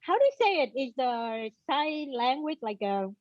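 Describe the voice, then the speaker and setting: A woman whose first language is Vietnamese, moderating a panel on a video call, halting and searching for words. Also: high voice, very animated, no echo. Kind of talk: casual panel chat. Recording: dull, dry video-call audio.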